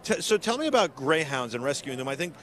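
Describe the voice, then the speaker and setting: Upbeat middle-aged host from New York City, slightly high voice, chatty walk-around coverage in a park with street sounds behind him. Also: male voice, sing-song, quick pace, a bit bright. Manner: chatty, upbeat, many fillers. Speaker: host of a live stream.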